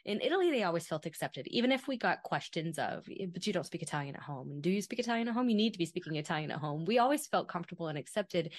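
A middle-aged woman hosting a podcast, asking long, thoughtful questions in a relaxed conversational way. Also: on the low side, dry recording, clean studio sound. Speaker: podcast host. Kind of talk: conversational interview.

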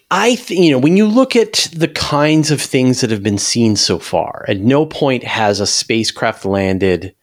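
A man talking casually about science as an interview guest, emphatic and repeating words to drive points home. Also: on the low side.

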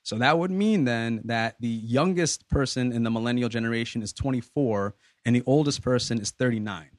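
A man's voice: medium pitch, brisk, no room sound. A man in his thirties hosting a podcast in a loose, easygoing way. He wanders through his points with plenty of fillers and restarts. His voice sits mid-range and is recorded close and dry. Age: 30s. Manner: relaxed, rambling with many fillers. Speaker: podcast host. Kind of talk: casual group discussion.

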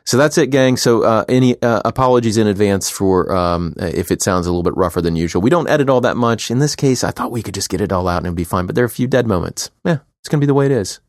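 A man with a very low voice co-hosts a casual podcast, talking in loose, rambling bursts full of restarts and quick laughs. The recording is dry and close, with no room sound. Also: expressive, dry recording. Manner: rambling, self-interrupting, laughs easily. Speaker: podcast co-host and editor. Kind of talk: casual chat.

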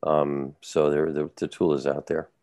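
Deep-voiced male journalist, casual podcast talk, halting with restarts, dry sound. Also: flat delivery, a little mellow, dry recording. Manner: halting, many restarts and repeated words.

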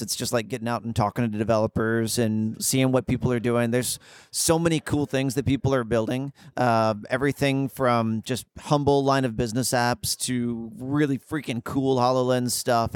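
Slightly froggy guy on a podcast, excited n slangy, explains stuff casually, dry sound. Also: mid-range voice, normal speed, smooth, no room sound. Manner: enthusiastic, slangy, emphatic. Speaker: podcast guest, tech company employee.

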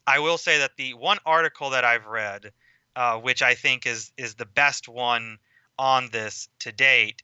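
A man talks through a film discussion as a podcast guest, steady and explanatory, laying out his points at length. His voice is very bright and crisp with a smooth clarity. The recording is dry and a touch dull, lo-fi podcast audio. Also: medium pitch, dry recording. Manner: measured, explanatory, lecturing at length.

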